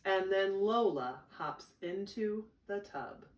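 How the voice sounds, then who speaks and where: A woman reading a children's picture book aloud in an expressive storytelling style. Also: medium pitch, slow, dull audio, slight background noise. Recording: echoey room, distant microphone.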